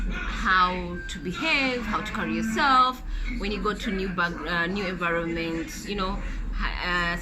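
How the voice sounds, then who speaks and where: A woman's voice: on the low side, poor audio. A woman from Kenya, chatting and explaining at length in a casual vlog, with lots of fillers and restarts. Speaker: vlogger. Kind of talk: casual story time chat while eating. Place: home, noise behind.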